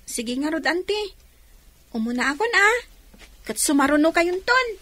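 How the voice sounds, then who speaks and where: A woman's voice: high voice, sing-song, moderate pace, sharp tone, slight background noise, full character voice. A woman playing a polite, questioning young daughter in Ilocano radio drama, with a Filipino accent. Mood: polite, inviting.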